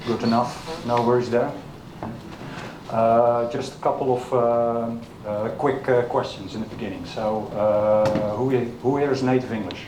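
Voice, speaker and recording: Dutch man giving a talk, echoey hall. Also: fairly even intonation. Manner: halting, many filler sounds, asks the audience questions. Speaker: conference speaker. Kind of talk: technical conference talk. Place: conference room. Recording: echoey hall with low rumble.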